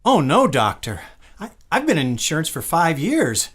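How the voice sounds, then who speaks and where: A man's voice: on the high side, huge pitch swings, normal speed, a bit bright, breathy edge, exaggerated voice. A man playing an exhausted, anxious married insurance salesman in a radio play, flustered and stammering. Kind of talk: mid-century radio play acting.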